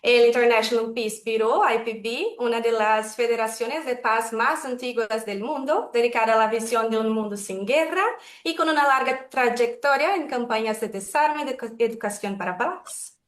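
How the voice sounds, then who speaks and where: Brasileña moderando con voz aguda. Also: female voice, on the high side, roomy sound. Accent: Brazilian Portuguese. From Brazil. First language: Portuguese. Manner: measured, careful, self-correcting. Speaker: webinar moderator. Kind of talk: formal opening remarks. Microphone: video call.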